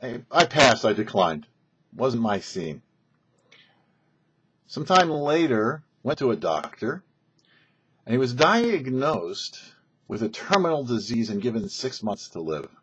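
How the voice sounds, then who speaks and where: A man's voice: mid-range voice, sing-song, slow, long pauses, background noise. An American man hosting and narrating a religious story in an anecdotal way, acting out bits of dialogue. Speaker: host and storyteller. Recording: slightly dull lo-fi recording.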